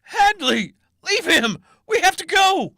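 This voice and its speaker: A woman voicing an anxious man in an apocalyptic audiobook, with a raspy, breathy voice. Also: very high-pitched, sing-song, slow, sharp tone, no echo, studio quality, pitched above the performer's own voice, exaggerated voice. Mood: urgent.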